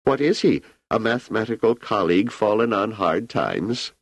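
An aging emperor, wry and imperious, drawling his questions with lazy amusement, voiced by a man reading a novel aloud. His voice sits a little higher than the narrator's deep one and moves widely. The sound is dry and close. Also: lively intonation, normal speed, no room sound. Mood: amused. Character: aging male emperor, idle and ironic.

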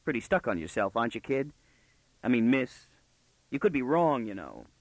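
A man's voice: medium pitch, sing-song, normal speed, dry recording. A man voicing a wry young male intruder in a storybook, gritty and sardonic with mocking courtesy. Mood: gruff, sardonic.

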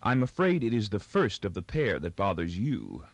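A man's voice: lowish voice, huge pitch swings, normal speed, dry recording, heavily acted. A man voicing a spirited, composed young woman in an audiobook, light and pointed in manner. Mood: cool, pointed.

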